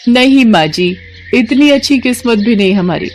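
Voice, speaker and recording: A woman's voice: fairly high pitch, expressive, normal speed, close to an everyday voice. Woman voicing a hopeful, eager young daughter-in-law. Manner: reassuring, eager. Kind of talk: Hindi cartoon story dialogue.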